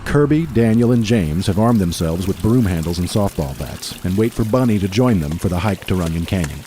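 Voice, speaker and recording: A man's voice: sits low, expressive, a little fast, noisy background. A man reading scripted narration for a post-apocalyptic radio drama. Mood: narrating. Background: music.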